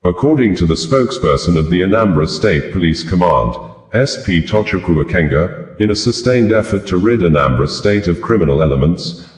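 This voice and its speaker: A man reads scripted news in a very low-pitched voice. His delivery is formal and deliberate. The recording is noticeably roomy, with an echo around the voice. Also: animated. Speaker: news reader.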